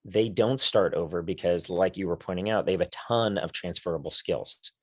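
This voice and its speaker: A man, a career coach for lawyers, speaking in an enthusiastic, conversational podcast interview with quick lists and restarts. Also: deep voice, a little mellow, no room sound, clean studio sound. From United States.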